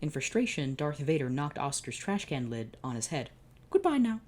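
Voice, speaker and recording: A woman's voice: a bass voice, expressive, normal speed, a little mellow. A woman reading a fan fiction story aloud, stumbling over words and restarting. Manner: reads with slips and restarts.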